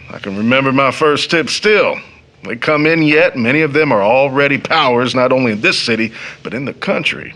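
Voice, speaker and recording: Wry, proud young waiter, forceful delivery. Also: male voice, mid-range voice. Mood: bitter, wry, then sardonic. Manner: wry, deliberate, ironic. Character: proud, bitterly philosophical young educated waiter, man. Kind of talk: audio drama stage monologue.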